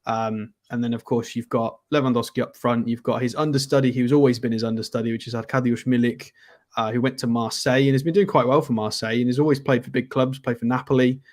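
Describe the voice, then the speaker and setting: A man's voice: medium pitch, steady tone, quick pace, a little mellow. Blunt, opinionated football pundit man. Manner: blunt, opinionated, lots of hesitant ums. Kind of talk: casual live-stream football chat.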